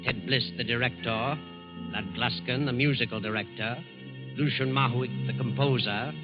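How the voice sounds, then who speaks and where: A man's voice: mid-range voice, fairly even intonation, moderate pace. American male radio narrator over dramatic music. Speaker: narrator and announcer. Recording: dull 1940s radio broadcast with music.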